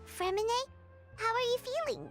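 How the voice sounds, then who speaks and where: Squeaky, excitable little fairy companion. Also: female voice, measured pace, over music, heavily acted. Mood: concerned, curious. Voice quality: squeaky, childlike. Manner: bubbly, exclaiming, speaks of self in third person. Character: small excitable female fairy-like floating companion, childlike. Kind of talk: English video game voice acting.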